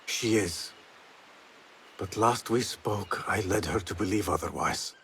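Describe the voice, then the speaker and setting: An actor playing a troubled, earnest young assassin novice in a video game, speaking formally and in a measured way. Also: male voice, fairly low pitch, fairly bright tone, slight background noise. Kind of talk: dramatic video game acting. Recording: dramatic music behind the voice.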